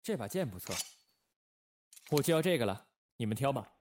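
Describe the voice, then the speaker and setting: A man playing a calm, shrewd secret-service station chief with understated authority in a radio drama. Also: mid-range voice, normal speed, a little hiss or hum, natural voice. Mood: composed.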